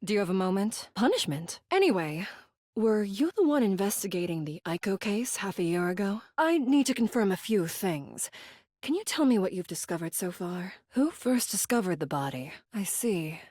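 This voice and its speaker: A commanding officer in a video game. Her delivery is curt and formal, with strongly varied intonation in a dry, close recording. She carries clear authority. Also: medium pitch, big pitch swings, normal speed, darkish tone, dry recording. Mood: stern, official. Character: commanding adult female officer, brisk and authoritative. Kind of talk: video game character acting.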